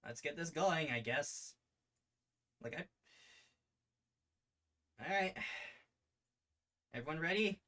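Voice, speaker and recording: A man hosting a livestream read-along in a casual, halting, self-deprecating way. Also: fairly high pitch, animated, pushed and bright. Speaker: streamer hosting a live reading of their own series.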